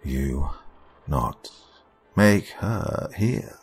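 A man's voice: a bass voice, expressive, extremely slow, slightly rough, soft background music, exaggerated voice. A man voicing a sinister voice inside someone's head, in a scratching whisper with broken, clipped commands for a horror story. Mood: menacing.

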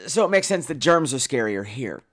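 A man hosting a podcast, giving casual, opinionated and profane film commentary in an animated way. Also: medium pitch, a little fast. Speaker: podcast host.

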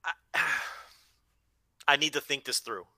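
A man's voice: mid-range voice, a little slow, bright, forceful tone. A man co-hosting a casual comedy chat about films, joking and swearing as he rambles along. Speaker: podcast co-host.

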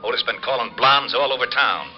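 An actor playing a brisk, gruffly familiar police lieutenant in a 1950s radio drama. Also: male voice, mid-range voice, faint music behind, lightly acted. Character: brisk, businesslike male police homicide lieutenant. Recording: dull old radio recording.

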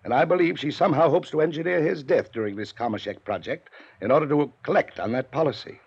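An actor playing a stern, domineering wealthy uncle in a 1950s radio drama, with a gravelly, husky voice. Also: male voice, on the high side, fast talker. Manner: blustering, then stiffly formal. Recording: dull lo-fi 1950s radio transcription.

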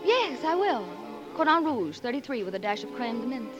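An actress in a 1940s radio drama playing a sharp-tongued, sarcastic reporter, dry, tart and quick-witted. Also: female voice, a little high, sing-song, highs cut off. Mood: brisk, bossy. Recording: 1940s radio broadcast, music behind.

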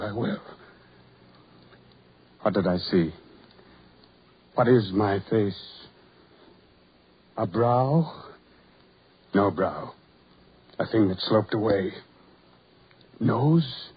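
Slow, tormented, ugly outcast. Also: male voice, medium pitch, husky. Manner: halting, broken phrases, anguished introspection. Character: tormented, lonely ugly sideshow man, brooding and dreamy. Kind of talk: 1940s radio horror monologue acting. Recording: muffled vintage 1940s radio recording.

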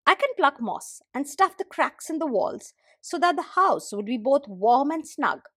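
A woman's voice: high voice, big pitch swings, unhurried, fairly bright tone, no room sound, studio quality, pitched above the performer's own voice, full character voice. A woman voicing a friendly, eager, chatty goose in a children's folktale, with polite, folksy phrasing. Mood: friendly, persuading.

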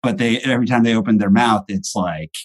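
A man's voice: fairly low pitch, fairly quick. Rambling man telling a travel story. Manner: rambling, hesitant, many fillers. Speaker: podcast guest. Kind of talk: casual chat.

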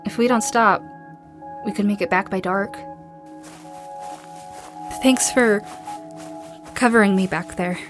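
An actress playing a hardened, grieving and self-blaming survivor in a post-apocalyptic audio drama, raw and emotional. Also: female voice, medium pitch, slow pace, pauses often, over music, lightly acted. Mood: weary, subdued. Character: grief-stricken, hardened female survivor, self-blaming and guarded.

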